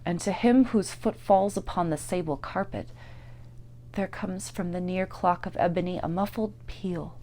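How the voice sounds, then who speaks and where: A woman narrating a classic literary tale in a theatrical, deliberate way, swelling loud and dropping to hushes. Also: lowish voice. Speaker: actor and narrator. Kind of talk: dramatic literary story narration.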